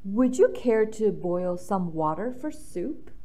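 A woman's voice: mid-range voice, big pitch swings, takes it slowly, dark tone, pitched below the performer's own voice. A woman voicing a sly, courtly male fox villain in a children's storybook, suave and overly polite as he makes inviting offers. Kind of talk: children's storybook read-aloud.

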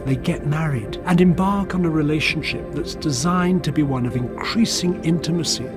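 A man narrates a promotional film from a script. His voice is a little higher than most men's, and music plays clearly behind him. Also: normal speed.

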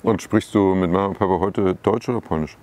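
A man's voice: sits low, brisk, a little mellow, a little hiss or hum. A man hosting a casual outdoor interview, mostly short prompts and questions. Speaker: interviewer and host. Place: outdoors at a schoolyard.

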